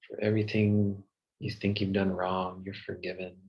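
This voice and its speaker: A man leading a guided meditation in a soothing, gentle manner with long pauses. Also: deep voice, flat delivery, muffled recording. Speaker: meditation teacher.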